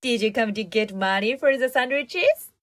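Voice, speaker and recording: A woman's voice: on the high side, normal speed, no echo. A woman playing an earnest, kind-hearted, outspoken young woman investigator in an audio drama. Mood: cheeky, casual. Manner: expressive, earnest.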